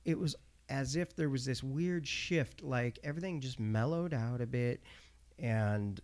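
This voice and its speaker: A man in his late thirties, hosting a casual podcast conversation, speaking reflectively and haltingly with many pauses to find his words. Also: medium pitch, darkish tone. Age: 30s.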